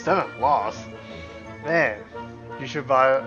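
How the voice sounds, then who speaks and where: Casting: man as casual game livestreamer, medium pitch, offhand commentary, muffled lo-fi microphone, faint music. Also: slow.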